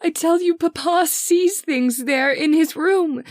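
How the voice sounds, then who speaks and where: A woman's voice: extremely high pitch, slow, darkish tone, no echo, clean studio sound. A woman playing an anxious, frightened daughter in an old-time radio horror drama, with dramatic, earnest delivery. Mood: frightened, pleading. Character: anxious, frightened daughter recounting her father's haunting.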